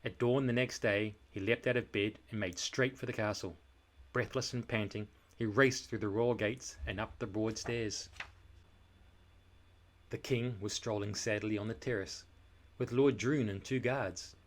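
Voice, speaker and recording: A man reading a children's story aloud in a dramatic, expressive and deliberate narration style. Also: on the low side. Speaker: storybook reader.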